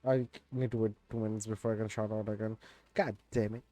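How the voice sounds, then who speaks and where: A man streaming a game, chatting in a laid-back, rambling way with lots of fillers, his voice a bit raspy. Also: lowish voice, level tone, fairly quick, warm tone, no room sound. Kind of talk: casual game stream chat.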